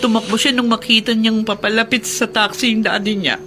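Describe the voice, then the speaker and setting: A woman's voice: on the high side, steady tone, a little fast, a bit bright, a little hiss or hum, close to an everyday voice. An actress playing an anguished, protective working-class mother, plaintive and emotional in a Filipino radio drama. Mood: reproachful.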